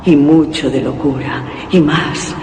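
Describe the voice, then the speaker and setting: An actor playing a solemn reciter of macabre verse in a radio horror drama, grave and declamatory. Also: male voice, on the high side, steady tone. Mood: grim, ominous. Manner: grave, declamatory, measured phrasing. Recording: noisy radio recording with long reverb.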